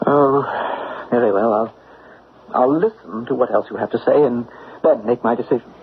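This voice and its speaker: An actor playing a stubborn, loyal young gentleman in love in a classic radio drama, earnest, wounded and formal. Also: medium pitch, normal speed, natural voice. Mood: defensive, reluctant. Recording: phone-like old radio recording, faint noise.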